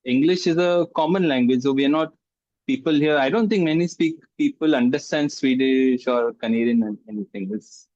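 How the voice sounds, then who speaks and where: A man hosting a casual interview, speaking haltingly and repeating phrases as he asks questions. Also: a little high, darkish tone, no room sound. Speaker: interview host. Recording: dull, dry sound.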